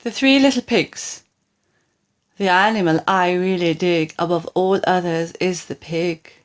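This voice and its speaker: A woman reading comic rhyming verse for children as scripted narration, with theatrical, deliberate phrasing. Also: fairly low pitch. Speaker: storybook reader.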